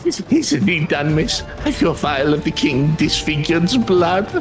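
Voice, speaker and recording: A woman's voice: deep voice, expressive, moderate pace, music in the background, pitched below the performer's own voice. A woman voicing a pompous, menacing male alchemist henchman in a fantasy game, with an abrupt, commanding, theatrical manner. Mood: gloating, obsessive. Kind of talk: acted reading of fantasy game dialogue.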